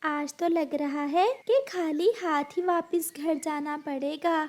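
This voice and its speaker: A caring cartoon mother bird, voiced by a woman. She has a very high, motherly voice and a slow, coaxing, slightly anxious delivery. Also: steady tone, darkish tone. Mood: worried.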